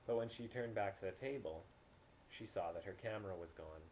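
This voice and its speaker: A man reads fiction aloud like an amateur audiobook. His voice is lowish and notably dark and soft-edged. The home recording is poor, with faint noise. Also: brisk. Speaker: amateur storyteller. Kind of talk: audiobook narration.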